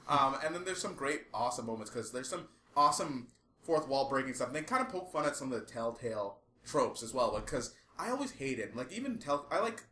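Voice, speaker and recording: A man co-hosting a podcast in casual chat, with an animated, exasperated, sweary way of talking. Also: mid-range voice, fairly quick. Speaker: podcast co-host. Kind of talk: casual podcast chat about movies and games. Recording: echoey room with background noise.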